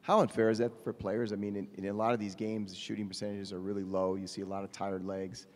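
A man's voice: fairly low pitch, flat delivery, fast talker, a little mellow. A man, a reporter, asking a long, winding question with restarts at a press conference. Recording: long hall reverb.

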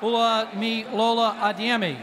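A woman with a somewhat high voice reads out graduates' names at a steady pace. A clearly audible crowd and arena noise sit beneath her voice. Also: normal speed. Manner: steady, clear name-by-name reading. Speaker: name reader at commencement. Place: large indoor arena.

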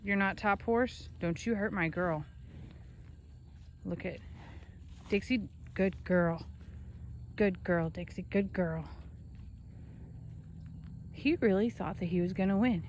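A woman's voice: mid-range voice, slow pace, long pauses. Woman, casual off-camera narrator, phone in a pasture, talks to livestock, faint outdoor noise. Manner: chatty, talks to the animals, scolding and fond. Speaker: ranch owner filming her animals.

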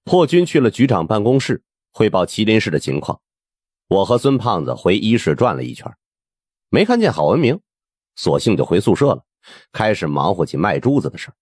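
A man narrating an audiobook in a colloquial, wry and expressive first-person storytelling style. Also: lowish voice, a little fast, slightly rough, dry recording, studio quality.

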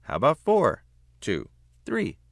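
A man's voice: mid-range voice, huge pitch swings, slow pace, gravelly, fairly bright tone, dry recording, studio quality, voice pushed up, full character voice. A man voicing a bold, insistent little girl pig in a storybook, with an emphatic, childlike way of talking. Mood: pleading.

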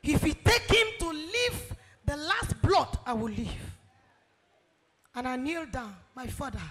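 A woman's voice: high voice, very animated, measured pace, fairly bright tone. A middle-aged woman giving a fervent testimony on a handheld mic in a large church hall. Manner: fervent, ends in sung praise. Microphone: handheld microphone.

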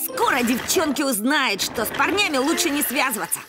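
A woman voicing a boastful, excitable sporty dog boy in an animated series, brash and slangy. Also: high voice, a little fast. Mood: defiant, boastful. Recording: music under the dialogue.

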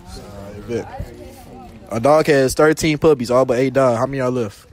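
A young man quizzes students outside on a college campus. He reads each question briskly and sounds upbeat. His voice sits in an ordinary middle range. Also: mid-range voice, darkish tone. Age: young adult. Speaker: street quiz interviewer. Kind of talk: casual street quiz.

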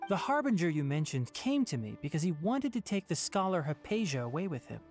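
An actor playing a calm, serious young male ranger scholar in a video game, speaking in a measured, earnest and firm way over soft music. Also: fairly high pitch.

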